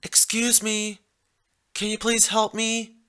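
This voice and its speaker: An exasperated, embarrassed mare nurse from a pony story, acted out by a man. The voice is lifted high and bright, with hesitant protests from someone hurt who needs help. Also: monotone, slow pace, clear, resonant tone, heavily acted. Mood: pleading.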